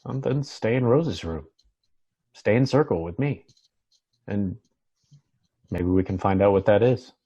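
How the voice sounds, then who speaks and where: Male actor as earnest, troubled doctor for TV drama; lowish husky voice, understated, reflective reading. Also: expressive, measured pace, long pauses, warm tone, lo-fi sound, heavily acted. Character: Muff, earnest, troubled doctor seeking a new home. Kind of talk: table read of a TV drama.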